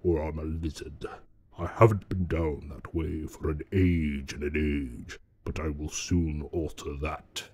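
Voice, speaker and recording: A man's voice: a bass voice, steady tone, a little slow, warm tone. A man playing a huge old male dragon, menacing, boastful and cunning, with grandiose, taunting theatrical delivery in a fantasy audio drama. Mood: brooding scorn. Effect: added cavernous reverb. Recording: cavernous echo and long reverb effect.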